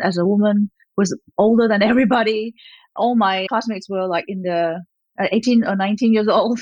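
A woman's voice: mid-range voice, no room sound, muffled recording. A woman in her fifties from Malaysia, speaking as an interview guest. She talks in a rambling way, with many fillers and light laughs. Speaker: gallerist, guest. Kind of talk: conversational interview.

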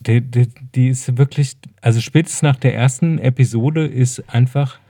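Mellow-voiced man chatting on a podcast. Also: mid-range voice, moderate pace. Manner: colloquial, opinionated. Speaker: podcast co-host. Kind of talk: casual chat.

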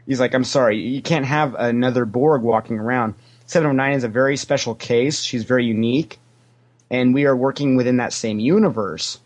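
A man's voice: medium pitch, brisk. A man giving casual, anecdotal answers as a podcast guest, a talkative storyteller who acts out what people said. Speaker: podcast guest, former theme park character actor.

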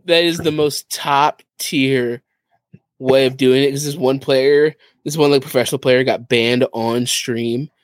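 A man's voice: mid-range voice, steady tone, unhurried, no room sound. A man co-hosting a podcast in casual chat, with a wry, joking manner. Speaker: podcast co-host.

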